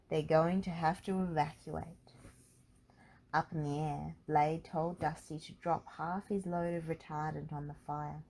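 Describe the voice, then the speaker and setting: A woman's voice: sits low, mellow, a little hiss or hum. A woman reading a children's storybook aloud as narrator. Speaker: storybook reader.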